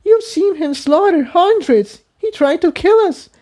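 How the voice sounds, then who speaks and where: Casting: young winged mare, played by a man, very high pitch, earnest, cautious and pleading, storybook fantasy. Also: expressive, unhurried, darkish tone. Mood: upset, pleading. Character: timid, earnest young female pony with wings.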